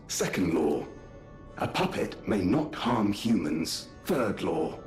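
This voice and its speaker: A man's voice: lowish voice, a little mellow. A man playing a polite puppet butler in a video game, formal, courteous and precise in his wording. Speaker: hotel butler. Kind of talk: video game character acting. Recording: roomy echo, faint game music.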